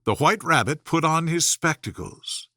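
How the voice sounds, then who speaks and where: A man's voice: fairly high pitch, expressive, unhurried, sharp tone, breathy edge, dry recording, clean studio sound. A man reading a scripted children's bedtime story as an audiobook narrator.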